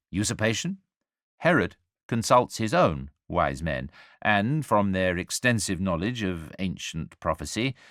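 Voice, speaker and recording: Male narrator, low register, very animated intonation, wry literary storytelling with measured delivery. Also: no room sound, studio quality. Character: angel narrating the nativity story, wry and urbane. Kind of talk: scripted dramatic storytelling narration.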